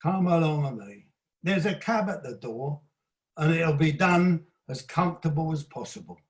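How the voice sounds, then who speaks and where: Placid, deferential working man played by a man, higher-pitched. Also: measured pace, natural voice. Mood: placid, soothing. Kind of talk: comic period play acting.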